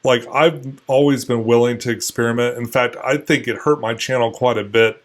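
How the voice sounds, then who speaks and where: A man in his forties speaks as an interview guest at an ordinary middle pitch. He answers in a relaxed, hesitant way. His thoughts come out in halting pieces with plenty of fillers. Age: 40s. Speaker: guest, longtime video maker. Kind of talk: casual interview chat.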